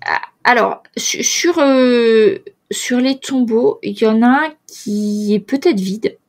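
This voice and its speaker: A woman's voice: fairly high pitch, slow pace, darkish tone. A woman running a tabletop role-playing game as game master, often hesitating with fillers and restarts.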